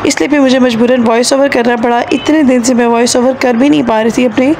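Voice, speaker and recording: A woman in her thirties with a Pakistani Urdu accent, narrating her road-trip vlog in a chatty voice-over, her voice hoarse from a sore throat, and repeating phrases for emphasis. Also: on the high side, fairly even intonation, fairly quick, darkish tone, a little hiss or hum. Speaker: travel vlogger.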